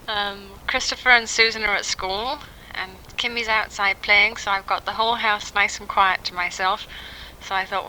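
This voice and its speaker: A woman's voice: medium pitch, pushed and bright, a little hiss or hum, rough recording. A woman in her thirties chatting casually and hesitantly, as in a spoken letter to family, on a 1960s home tape. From England. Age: 30s. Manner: informal, hesitant, pausing often with ums. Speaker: mother recording an audio letter to family. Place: at home, in a quiet house.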